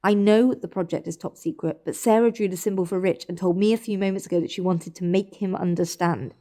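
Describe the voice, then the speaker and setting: Actress as worried british woman in an audio drama, keeps pressing for answers, soft mellow tone. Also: fairly low pitch, steady tone, brisk, natural voice. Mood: worried, firm. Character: anxious, insistent woman questioning her relatives in hospital. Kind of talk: naturalistic audio drama acting.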